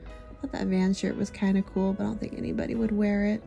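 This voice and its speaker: A woman in her thirties narrating a thrift shopping trip in a chatty, relaxed, easily amused way. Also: medium pitch, fast talker, vocal fry, dull audio, soft background music. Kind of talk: casual voice-over narration.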